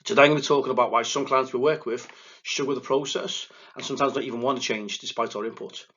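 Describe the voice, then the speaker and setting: A man with a thick Liverpool accent giving a recorded lecture as a psychotherapist, excitable and quick, often stumbling and restarting his words. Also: mid-range voice, breathy edge, highs cut off. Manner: fast, excitable delivery with verbal stumbles and restarts. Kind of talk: recorded lecture to counselling students. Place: presenting alone without a live audience.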